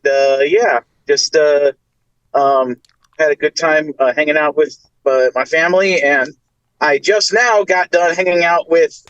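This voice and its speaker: A man's voice: fairly high pitch, fairly bright tone, no echo, lo-fi sound. A man from the US Midwest co-hosting a podcast, telling stories in a rambling, chatty way. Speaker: podcast co-host. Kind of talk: casual podcast chat.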